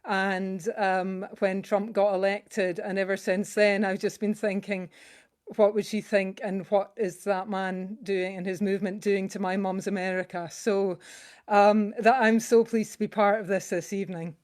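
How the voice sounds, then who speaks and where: A Scottish woman talks over a video call before she sings. Her voice is smooth and clear, and her chatty, halting speech keeps stopping and restarting. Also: medium pitch, flat delivery, a little mellow. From Scotland. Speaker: singer sharing a song. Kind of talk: casual introduction at an online song swap.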